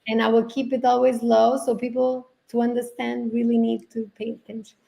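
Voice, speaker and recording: A woman whose first language is Portuguese, with a deep gravelly rasp and a pitch on the high side for a woman. She speaks reflectively, circling back and restarting as she reaches for the right English words. She is heard over a video call. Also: level tone, a little fast, warm tone. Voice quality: gravelly low-toned voice. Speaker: guest. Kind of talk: casual conversational interview.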